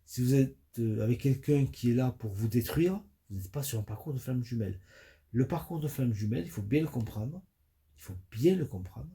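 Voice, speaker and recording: Dark-voiced man with a stuffy nose. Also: mid-range voice, expressive. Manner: halting, many fillers and restarts. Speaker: spiritual coach. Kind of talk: hesitant spoken audio monologue.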